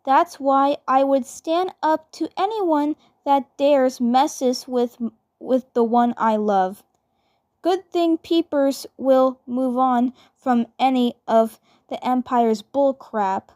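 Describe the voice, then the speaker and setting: Woman playing brash high-pitched lovestruck cartoon girl, halting. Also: a little mellow, no room sound, clean studio sound. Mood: defiant. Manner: halting, repeating words, dismissive. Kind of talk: amateur fan dub acting.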